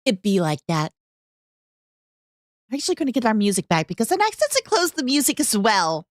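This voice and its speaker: Brief: hoarse, bubbly woman streamer, high, lively voice, dry room. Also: quick pace, dry recording. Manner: bubbly, talks to chat, hums tunes. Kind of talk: casual livestream reaction chat.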